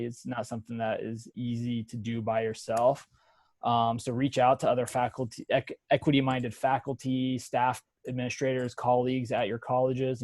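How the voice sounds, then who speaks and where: A relaxed man talks casually over a video call, encouraging his listeners with plenty of ums and uhs. His voice is dry and close, with no room sound. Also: mid-range voice, dry recording. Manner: relaxed, filler-heavy, encouraging. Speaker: professor and webinar presenter. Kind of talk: casual webinar talk.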